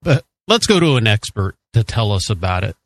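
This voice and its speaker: A man's voice: on the low side, sing-song, a little fast. A man hosting a call-in radio talk show in the studio, upbeat and chatty. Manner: upbeat, chatty, reads promos. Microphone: studio mic.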